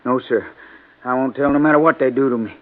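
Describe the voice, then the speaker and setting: An actor plays a humble manservant who is afraid but stubborn. He speaks in a halting, folksy, pleading way at a middling pitch. The sound is a thin, tinny vintage radio recording. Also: steady tone, close to an everyday voice. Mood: frightened, pleading. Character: frightened, stubborn, humble ex-convict male servant. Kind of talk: 1950s radio drama acting.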